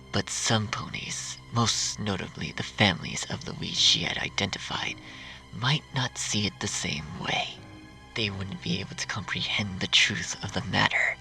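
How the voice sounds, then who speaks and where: A man narrating a scripted dark fan fiction story with dramatic, deliberate phrasing. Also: deep voice, creaky, poor audio. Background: music.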